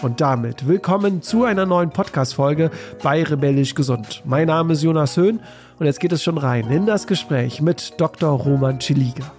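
A man's voice: fairly high pitch, normal speed, music in the background. A man from Germany hosting a health podcast. He reads a scripted intro and then asks his guest friendly questions, with many "äh" fillers. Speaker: podcast host.

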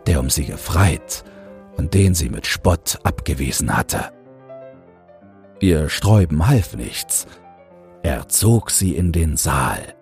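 Ein Mann liest als Hörbucherzähler ausdrucksvoll einen geschriebenen Märchentext vor. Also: male voice, a bass voice. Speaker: audiobook narrator and podcast host. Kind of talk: scripted fairy-tale narration. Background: soft music.

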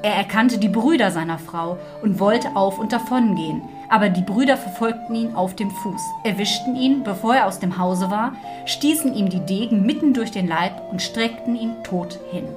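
Female narrator, mid pitch, scripted fairy-tale storytelling, music behind. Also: normal speed.

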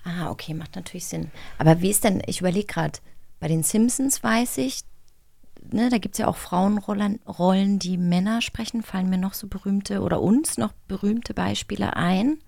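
A woman's voice: medium pitch, moderate pace, gravelly, dark tone, no echo, studio quality. A woman co-hosting a casual interview, asking questions and musing aloud in an informal way. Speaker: podcast co-host, interviewer. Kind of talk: casual chat.

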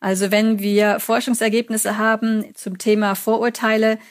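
A woman's voice: medium pitch, flat delivery, normal speed. German woman, thirties, psychology lecturer, chatty interview guest, telling anecdotes. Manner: chatty, storytelling with quoted examples. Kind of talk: interview answers.

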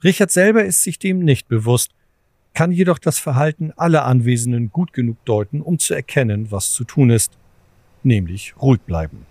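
A man with a mid-pitched voice narrates a scripted audio drama. His delivery is deliberate and literary, formal in tone, and recorded very dry. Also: expressive, a little mellow, no echo.

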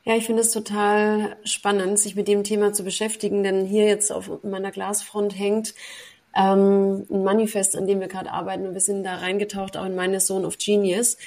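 A woman's voice: mid-range voice, a little mellow. A woman telling her story as a podcast guest over a video call from her apartment, talking freely with many fillers and English loanwords. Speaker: podcast guest, sales mentor. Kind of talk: casual conversational interview.

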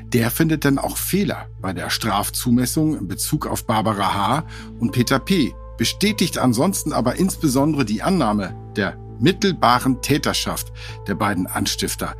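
A man's voice: medium pitch, very animated, moderate pace, soft background music. A man hosting a true-crime podcast, talking through a court case in a conversational, slightly wry way. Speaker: podcast host.

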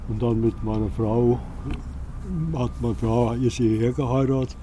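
A middle-aged or older local man with a dark, mellow voice at medium pitch. He gives casual, plain answers and often breaks off to start again. Faint outdoor noise sits behind him. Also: flat delivery. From rural Hesse, Germany. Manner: halting, plain-spoken, breaks off and restarts sentences. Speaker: local resident being interviewed. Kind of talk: casual spontaneous interview answers. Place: outdoors in a quiet rural village.

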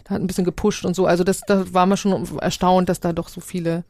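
A woman's voice: lowish voice, level tone, quick pace, dark tone, dry recording. A woman explaining her project as a guest in casual, loosely structured conversation.

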